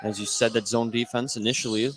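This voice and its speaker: A man's voice: medium pitch, steady tone, quick pace, a bit bright, rough recording. American male play-by-play basketball announcer, mid-range voice, live gym noise underneath. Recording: clear gym noise, bouncing basketballs behind. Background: basketball game in a gym.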